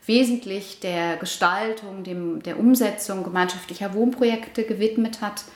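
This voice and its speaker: A woman's voice: medium pitch, moderate pace. Iranian-born woman in an echoey room. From Iran. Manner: thoughtful, many fillers and restarts. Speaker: interviewee, housing project resident. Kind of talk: casual interview answers. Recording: roomy echo.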